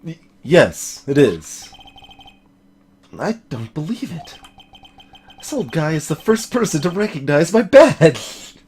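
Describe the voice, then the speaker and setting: A woman's voice: sits low, very animated, slow pace, long pauses, a little mellow, husky, pitched below the performer's own voice, heavily acted. A woman voicing an earnest young male defense lawyer with a hesitant, stammering, dry delivery. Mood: disbelieving.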